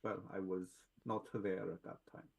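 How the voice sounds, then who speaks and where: A man putting on a gruff Russian-born superhuman. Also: deep voice, level tone, a little mellow, lo-fi sound, exaggerated voice. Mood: matter-of-fact. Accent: put-on Russian. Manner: halting, gropes for English words. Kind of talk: tabletop roleplay in character.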